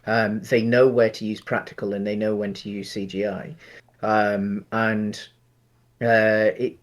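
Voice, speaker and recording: A man co-hosting a podcast, chatting casually about films and thinking aloud in a halting way. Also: fairly low pitch, little pitch movement. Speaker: podcast co-host. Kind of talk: casual film discussion.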